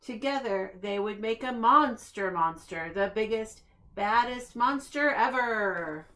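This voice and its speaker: Female storybook reader for young children, fairly high-pitched, unhurried, expressive and deliberate. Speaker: preschool staff reader. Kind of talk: read-aloud of a children's picture book.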